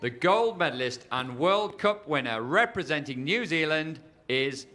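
A man announcing a medal ceremony in a formal, measured way. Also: a little high, animated, pushed and bright. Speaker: venue announcer.

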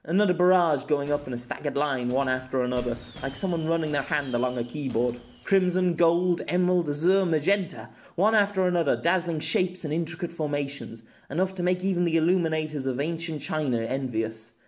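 A man's voice: a little high, fairly quick, few pauses. A man reading a scripted story as an amateur audiobook narrator.

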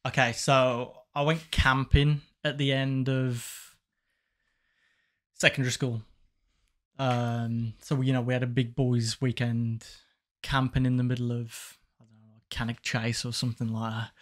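A man's voice: medium pitch, level tone, unhurried, pauses often. A young man, co-hosting a casual podcast in a small home studio and telling crude stories in a laid-back way. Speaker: podcast co-host. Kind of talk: casual chat. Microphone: studio mic on boom arm, headphones.

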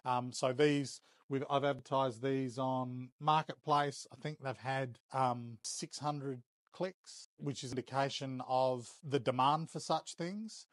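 A man's voice: mid-range voice, steady tone, no echo. Middle-aged Australian man, close dry sound. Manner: hesitant, measured, matter-of-fact. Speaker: auction house operator. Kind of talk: casual explanatory interview talk. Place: indoors, warehouse auction rooms and workshop.

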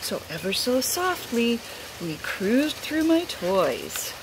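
A middle-aged woman, a teacher, reads a picture book aloud expressively, outdoors under a roof. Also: on the high side. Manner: unhurried, animated. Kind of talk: expressive read-aloud storytelling. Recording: rain noise behind the voice.

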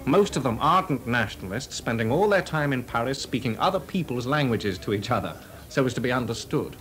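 Actor playing a wry young composer at a party. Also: male voice, medium pitch, expressive, fairly quick, fairly bright tone, close to an everyday voice. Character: composer Delius, young man, wry and witty. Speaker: lead actor. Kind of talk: 1980s radio drama. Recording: party crowd behind.

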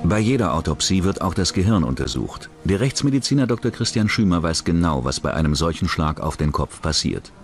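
A man's voice: low voice, sing-song, fairly quick, few pauses. A man reading scripted true-crime documentary narration. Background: background music.

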